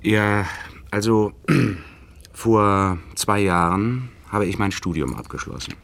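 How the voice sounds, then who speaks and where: Deep-voiced nervous young doctor. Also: male voice, takes it slowly, gravelly, fairly bright tone, exaggerated voice. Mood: earnest, recounting. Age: 30s. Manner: formal, hesitant, courteous. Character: nervous, polite young doctor of about thirty. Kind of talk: radio play acting.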